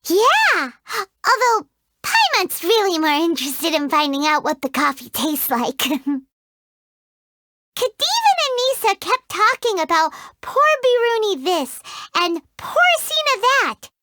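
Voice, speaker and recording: A squeaky little fairy sidekick. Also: female voice, huge pitch swings, measured pace, pushed and bright, no room sound, heavily acted. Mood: chirpy, excitable. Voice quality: high squeaky childlike voice. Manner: talks about herself in the third person. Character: tiny floating fairy companion, chirpy and excitable. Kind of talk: video game character dialogue.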